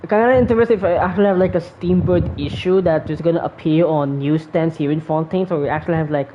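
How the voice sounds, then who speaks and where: A woman's voice: low voice, fast. A woman giving casual, musing commentary as a gaming streamer, in a rambling way. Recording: dull microphone with faint noise.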